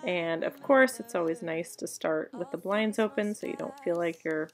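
A woman's voice: fairly low pitch, normal speed. A woman in her thirties chatting casually in a voice-over for her home cleaning vlog, confiding and laughing now and then. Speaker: cleaning vlogger. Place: home bedroom. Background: soft background music.

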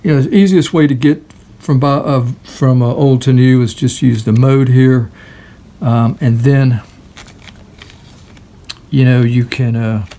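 A man giving a casual, hands-on product walkthrough in a halting, hesitant way. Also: medium pitch, fairly even intonation, warm tone. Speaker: product demonstrator.

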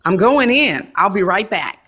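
A woman acting an excitable, playfully scheming aunt in an audio drama. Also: mid-range voice, a little slow, slightly rough, heavily acted. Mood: upbeat.

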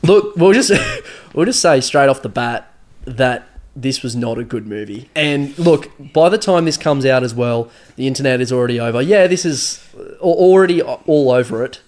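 A young man with a stuffy, nasal head cold trades joking, self-mocking banter as co-host of a podcast. His voice sits at an ordinary middle pitch, and his lines often trail off. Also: expressive, moderate pace, darkish tone. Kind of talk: casual banter.

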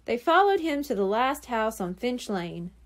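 Animated higher-pitched woman reading children's fiction. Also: normal speed. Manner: animated, acts out dialogue. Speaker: audiobook reader. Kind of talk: expressive children's storybook narration.

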